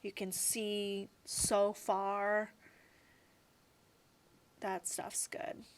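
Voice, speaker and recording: A woman's voice: medium pitch, completely flat delivery, extremely slow. A woman in her thirties, a guest in a casual interview, talking in a chatty, rambling way full of "like" and "you know". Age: 30s.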